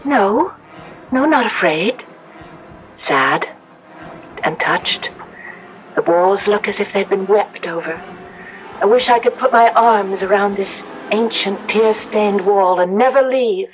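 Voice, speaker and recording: A woman's voice: medium pitch, takes it slowly, pauses often, natural voice. An actress playing a tender, earnest and wistful young woman in an old radio drama style. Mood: sad, touched. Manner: earnest, soulful, gentle. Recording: muffled old radio recording.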